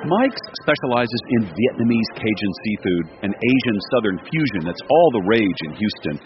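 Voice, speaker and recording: Deep-voiced man narrating a news report. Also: muffled recording. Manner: measured storytelling delivery with lively pitch.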